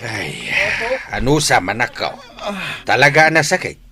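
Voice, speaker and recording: A man acts a concerned helper in a radio drama, practical and matter-of-fact. His bright voice moves up and down in lively swings. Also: mid-range voice, measured pace, vocal fry, faint music behind, heavily acted. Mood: concerned, instructive.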